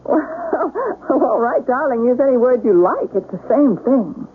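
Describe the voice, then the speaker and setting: Challenging wife, high-pitched, very animated, dismissive, radio play. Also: female voice, takes it slowly, full character voice. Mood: amused, dismissive. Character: sharp-tongued, exasperated young-to-middle-aged wife. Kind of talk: 1970s radio drama acting. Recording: tinny, noisy old radio broadcast.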